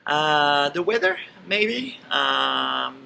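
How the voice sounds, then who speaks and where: A man in his forties with a French accent, answering quick questions on a tour of his company's office, with many fillers and restarts. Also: fairly high pitch, expressive, gravelly, pushed and bright, slight background noise. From France. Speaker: company co-founder. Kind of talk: rapid-fire walking interview. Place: company office in Paris, indoors and terrace.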